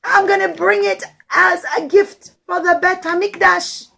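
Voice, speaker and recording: A humble, devoted poor man from a children's story, as a woman storyteller puts him on. He speaks earnestly and gently, in a raised, lilting voice. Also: sits high, slow pace, voice pushed up, full character voice.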